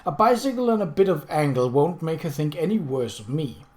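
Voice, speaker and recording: A man voicing a brisk, strong-willed and defiant young lady in an audiobook, crisp and spirited. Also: fairly high pitch, animated, a little mellow, lightly acted.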